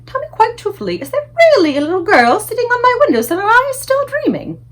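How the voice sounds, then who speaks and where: Woman acting a high-pitched, startled regal queen. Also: very animated, fast talker. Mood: shocked, sharp. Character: regal queen, startled yet self-controlled, well-mannered.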